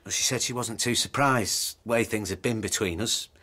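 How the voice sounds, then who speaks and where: A man acting in a radio drama as a weary, earnest husband who hesitantly justifies himself, his voice husky. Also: on the low side, normal speed, bright, forceful tone. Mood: self-justifying.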